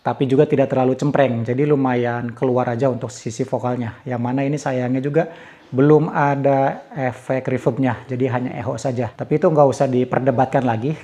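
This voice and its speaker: A man's voice: medium pitch, mellow. A man giving a casual spoken product review and audio demo.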